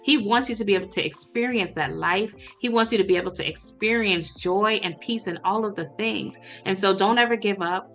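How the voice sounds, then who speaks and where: Gravelly bright-voiced woman podcast host. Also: medium pitch, brisk, few pauses, soft background music. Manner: enthusiastic, encouraging, preacherly. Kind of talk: casual interview talk.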